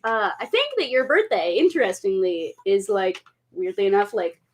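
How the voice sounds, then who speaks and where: A woman's voice: medium pitch, sing-song, normal speed, soft background music. A woman in her twenties co-hosting a casual drinking livestream, giggly, playful and chatty. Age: 20s. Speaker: co-host. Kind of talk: casual drunken livestream banter.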